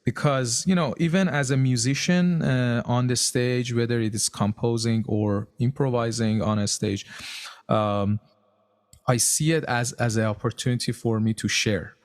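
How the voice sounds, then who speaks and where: A man, a composer and music teacher, speaking English as a learned language in a relaxed interview, often pausing to find his words. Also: mid-range voice. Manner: thoughtful, searches for words in a second language. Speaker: composer and music educator, podcast guest. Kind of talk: casual conversation.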